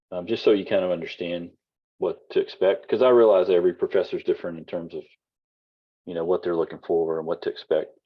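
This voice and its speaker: A man teaching an online class in a loose, rambling way with lots of fillers, his voice husky and giving out. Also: sits low, lo-fi sound. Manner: casual, rambling, many fillers and restarts. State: losing his voice. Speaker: college instructor.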